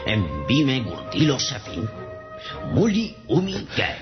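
Slow, swooping pompous tiny king. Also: medium pitch, wildly swooping pitch. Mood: imperious. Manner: declamatory, speaking an invented tongue. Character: pompous tiny male monarch of Lilliput. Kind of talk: radio drama acting. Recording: dull lo-fi sound with music behind. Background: music.

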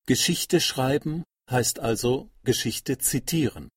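A man giving a scripted literary reading of quotations in a measured, declarative manner. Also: mid-range voice, slightly rough, dry recording, studio quality. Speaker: reader of quotations. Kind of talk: literary reading of quoted texts.

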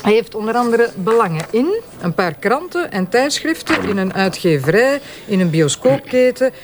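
High-voiced, sarcastic Flemish man in authority. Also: big pitch swings, moderate pace, background noise. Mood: wry. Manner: sarcastic, reproachful. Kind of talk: radio drama acting.

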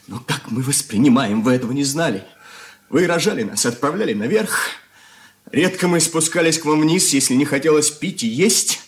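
An actor playing an earnest, hurt young son in a radio drama, speaking in measured, heartfelt phrases. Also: male voice, on the high side, animated, close to an everyday voice. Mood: earnest reproach. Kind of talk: 1980s Soviet radio play acting.

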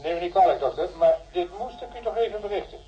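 Dutiful assistant on muffled link. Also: male voice, sits high, lively intonation, quick pace, dark tone, faint music behind. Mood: dutiful, apologetic. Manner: polite, dutiful. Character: deferential male assistant reporting to his doctor boss. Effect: radio or telephone filter. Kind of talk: science fiction radio drama. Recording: muffled radio-link sound.

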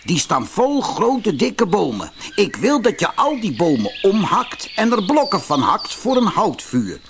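A man voicing a bossy, bargaining wolf in a storybook, speaking in a commanding, deliberate way. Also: sits high, huge pitch swings, noisy background, voice pushed up, full character voice. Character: bossy male wolf with fiery eyes, bargaining.

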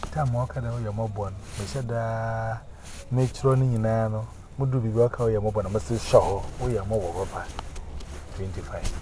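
A man's voice: lowish voice, fairly even intonation, normal speed. A man with a Ghanaian accent, preaching and giving testimony, emphatic and often repeating words in chanting runs. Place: outdoors on a busy street. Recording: noisy street recording.

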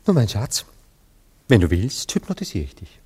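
Low-voiced, lovesick poet. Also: male voice, huge pitch swings, normal speed, darkish tone, husky, full character voice. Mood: tender. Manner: theatrical, tender, wavering between commanding and evasive. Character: lovesick, anxious poet and amateur hypnotist, male lover. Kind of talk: classic German radio play acting.

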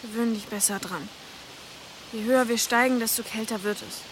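Actress as young woman guide, radio drama, high-pitched, curt, scornful, resentful, noticeable ambient noise. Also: fairly even intonation, normal speed, lightly acted. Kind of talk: German radio drama acting.

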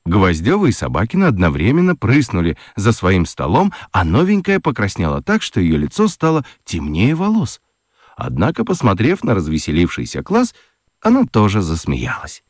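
A man narrating a children's radio play in a gently ironic, highly expressive storytelling manner. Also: moderate pace, no echo, clean studio sound.

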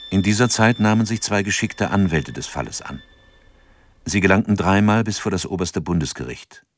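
An actor playing a narrator in a radio drama, reading scripted narration in a sober, measured and factual way. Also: male voice, low voice.

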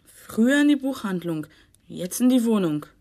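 A timid young lad in a radio crime play, voiced by a woman. The voice is light in age yet mellow and dark in tone. He answers hesitantly and deferentially, naive and nervous. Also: a little high, big pitch swings, moderate pace. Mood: naive, flustered. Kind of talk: German radio crime drama acting.

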